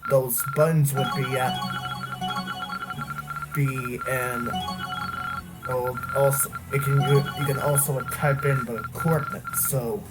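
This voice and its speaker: Slow, halting gamer over loud game music. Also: medium pitch, steady tone, low-quality recording. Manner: halting, repeats phrases while improvising. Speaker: gaming video commentator. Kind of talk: casual let's play commentary mixed with improvised role-play dialogue. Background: loud video game music.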